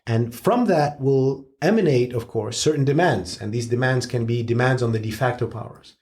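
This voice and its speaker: Measured, analytical male guest. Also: mid-range voice, a little mellow. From Syria. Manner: measured, explanatory, frank asides. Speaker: guest expert. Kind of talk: analytical interview answers.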